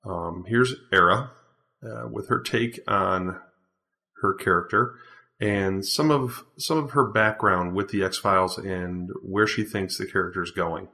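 A man who writes and produces the show, introducing it with relaxed talk full of ums. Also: deep voice, unhurried. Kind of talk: podcast introduction.

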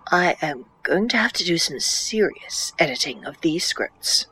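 A woman playing an exasperated, pedantic script editor in an office comedy, dry and deliberate. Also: low-pitched, animated, bright, forceful tone, slight background noise, heavily acted, low-quality recording.

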